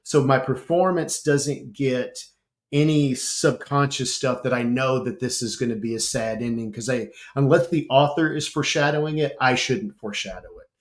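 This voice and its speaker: A man voice actor chatting on a panel, telling animated stories with frequent laughs and repeated starts. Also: mid-range voice, moderate pace. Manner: animated storyteller, laughs often, repeats himself. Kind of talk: casual panel chat.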